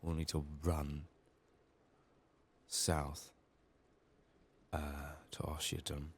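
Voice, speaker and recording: A man doing soft-spoken, often whispered ASMR game commentary, muttering to himself with a voice strained by illness. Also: extremely low pitch, monotone, very slow, a little hiss or hum. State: sick, resting a strained voice. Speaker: video game streamer.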